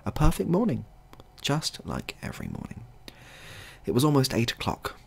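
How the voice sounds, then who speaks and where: A man narrating a scripted comic short story as a storyteller, in a husky, gravelly voice. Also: medium pitch, huge pitch swings, moderate pace.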